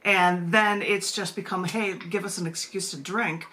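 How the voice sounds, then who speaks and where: Bright-voiced American woman hosting. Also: fairly low pitch, fairly even intonation, measured pace. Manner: chatty, rambling with asides. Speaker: open mic host and poet. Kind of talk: casual hosting chat and poetry reading on a video call. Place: at home, indoors. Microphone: video call microphone.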